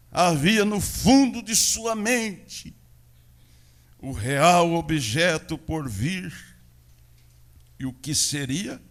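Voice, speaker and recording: A Brazilian man, a pastor preaching a sermon in a church with a São Paulo interior accent, moving between loud proclamation and quiet asides. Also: fairly high pitch. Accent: Brazilian Portuguese, São Paulo interior.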